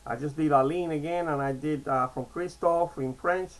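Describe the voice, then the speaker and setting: High-voiced chatty man in his sixties. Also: normal speed, poor audio. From Cuba. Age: 60s. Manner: chatty, digressive, repeats "okay?" and restarts often. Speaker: vlogger. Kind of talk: casual rambling monologue to camera.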